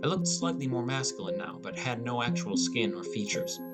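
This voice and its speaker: Man, audiobook narrator, first-person fantasy story, mid-pitched voice, loud music underneath. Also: expressive, normal speed. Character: teenage boy gamer, gloomy and introspective. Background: prominent music.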